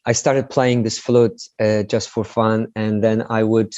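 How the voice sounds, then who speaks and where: A man from Poland, chatting as a guest in an interview in a relaxed, self-deprecating way with many fillers. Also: fairly low pitch, measured pace, no room sound. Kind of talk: casual interview chat. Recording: dry, slightly dull sound.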